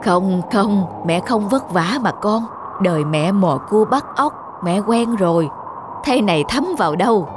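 Woman doing a gentle country mom in a cartoon, soft reassuring voice, music loud behind. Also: medium pitch, lively intonation, a little slow, close to an everyday voice. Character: hardworking rural mother, gentle and self-effacing. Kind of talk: animated story voice acting.

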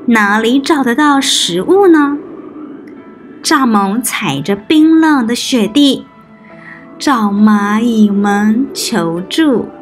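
High-voiced woman telling children's stories. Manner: addresses small children with questions and soft particles. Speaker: children's storytime host and narrator. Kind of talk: expressive storytelling for preschoolers.

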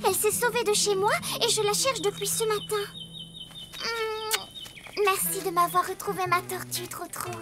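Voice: extremely high pitch, fairly even intonation, normal speed. A performer voicing a little girl animal in a children's cartoon, speaking in a direct, childlike way. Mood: earnest. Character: little girl animal, playmate of donkey boy. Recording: very loud music behind.

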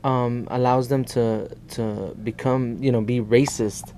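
A man in his thirties from El Salvador, discussing politics as an activist guest in halting, repetitive phrases. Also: mid-range voice, fairly even intonation, dark tone, slight background noise. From born in El Salvador. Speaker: guest, immigrant rights activist. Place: small indoor TV studio.

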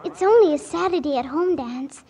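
A woman's voice: sits high, lively intonation, moderate pace, crowd noise. A female performer playing a polite, sweet little aristocratic English girl in 1940s radio style. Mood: polite, eager.

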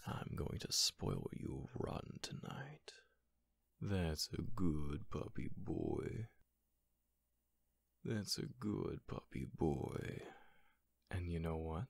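A man playing an affectionate, teasing, gently dominant roommate in an ASMR roleplay, soothing and breathy. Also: low voice, animated, dry recording, full character voice. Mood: doting, soothing. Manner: slow, soothing, breathy, doting. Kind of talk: ASMR roleplay, whispered and softly spoken.

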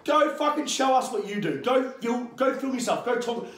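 A man's voice: very high-pitched, animated, normal speed, fairly bright tone. An Australian man in his thirties, a strength coach and podcast guest, talking brashly with plenty of swearing. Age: 30s. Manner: brash, fired-up, swears freely. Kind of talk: podcast interview. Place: gym, indoors. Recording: echoey gym room.

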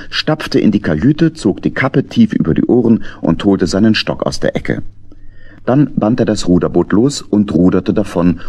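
Deep, husky male storyteller. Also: a little mellow. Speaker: narrator. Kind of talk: expressive scripted storytelling for children.

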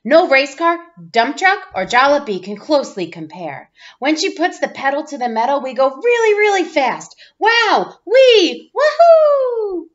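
A woman reads a children's picture book aloud with playful expression. Her voice is high and swings widely in pitch. The sound is slightly dull and lo-fi, like a webcam in a room at home. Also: sing-song, measured pace, few pauses. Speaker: teacher reading aloud. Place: small room at home, indoors.

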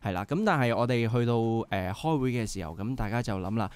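A man with a Hong Kong Cantonese accent, a guitarist talking earnestly and methodically with lots of small fillers. His voice is middle-pitched and sounds dry and close to the mic. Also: expressive, quick pace, a little mellow, smooth, no room sound. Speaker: band guitarist. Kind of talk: casual radio interview.

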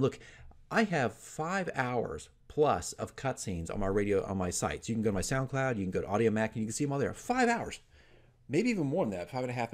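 A man's voice: mid-range voice, darkish tone. A man in his forties hosting a casual opinion vlog, talking emphatically and conversationally as a game master giving tips. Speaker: dungeon master giving tips as channel host. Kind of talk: casual opinion talk to camera. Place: small furnished room at home, indoors. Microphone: desk microphone in front of him.

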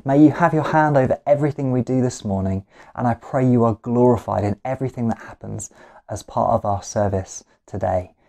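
A man's voice: mid-range voice, brisk, gravelly, dark tone. A British man in his thirties, a pastor welcoming people and giving announcements for an online church service. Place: living room at home, indoors.

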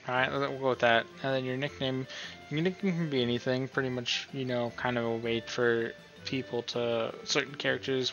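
Guy doing a laid-out-as-he-goes tutorial, bright forward voice, kinda hesitant, lo-fi mic w music behind. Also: medium pitch, creaky. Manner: rambling, hesitant, self-deprecating. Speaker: tutorial host. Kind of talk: casual unscripted tutorial narration.

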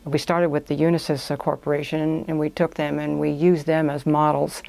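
A woman's voice: low voice, flat delivery, normal speed, creaky, darkish tone, no room sound. An older woman giving conversational, explanatory answers as a guest in a studio interview. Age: older, about 60s or 70s. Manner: explanatory, step-by-step. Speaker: guest, language institute owner. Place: small indoor podcast studio.

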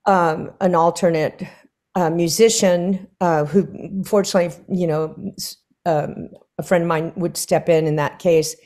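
An older woman, a singer, chatting over a video call from her living room and telling lively stories with many fillers. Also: fairly low pitch, unhurried. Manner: animated storyteller, many fillers. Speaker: guest singer. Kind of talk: casual conversation.

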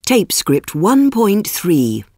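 A woman announcing textbook tracks and reading the alphabet slowly and deliberately. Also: mid-range voice, sing-song, darkish tone, dry recording, clean studio sound. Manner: slow, deliberate and carefully spoken. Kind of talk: scripted lesson announcements.

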